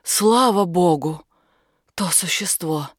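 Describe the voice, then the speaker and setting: A woman's voice: on the low side, takes it slowly, dry recording, clean studio sound. A woman reading an audiobook as a shaken, stubborn and curious young woman who questions insistently. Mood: frightened. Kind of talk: audiobook character dialogue.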